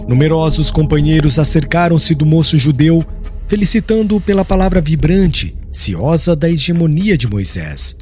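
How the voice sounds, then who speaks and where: A man narrating an audiobook in a formal, literary and dramatic scripted style. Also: fairly high pitch. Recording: soft music underneath.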